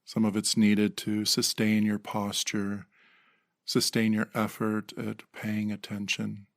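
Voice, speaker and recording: Male meditation guide, lowish pitch with vocal fry, gentle delivery with pauses. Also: monotone, dry recording, clean studio sound. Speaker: meditation teacher.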